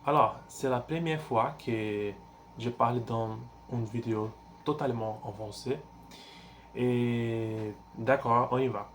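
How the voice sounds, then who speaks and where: A man in his twenties from Brazil, speaking French with a Brazilian Portuguese accent and a Portuguese first language. He talks in a halting, casual way, pausing often to search for words, with frequent fillers and restarts. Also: medium pitch, slight background noise. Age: 20s. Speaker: language learner vlogger. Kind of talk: casual self-introduction.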